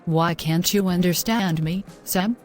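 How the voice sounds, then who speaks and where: A woman's voice: fairly low pitch, unhurried, soft background music, close to an everyday voice. A woman playing a devout, distressed young girlfriend in a scripted moral story, speaking earnestly in formal phrases. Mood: pleading.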